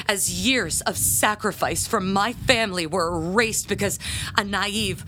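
A woman playing a proud, jealous parishioner and bell ringer in an audio drama, speaking in a pompous, theatrical, halting way. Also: mid-range voice, close to an everyday voice. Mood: defensive, sheepish.

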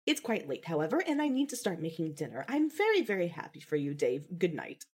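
A woman playing a grieving young woman in a fan fiction audio drama, speaking formally and haltingly as she holds back tears. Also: fairly low pitch, huge pitch swings, husky, heavily acted. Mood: forced composure.

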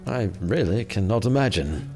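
An actor playing an uneasy medieval sheriff in an audio drama, hesitant, dry and understated. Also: male voice, fairly low pitch, animated, normal speed, music in the background. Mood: guarded.

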